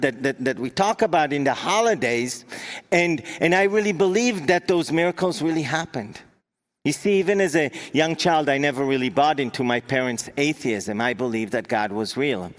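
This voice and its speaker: A young man from Israel with an Israeli accent, a native Hebrew speaker, preaching an earnest sermon with emphatic repetition as a guest preacher. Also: on the high side.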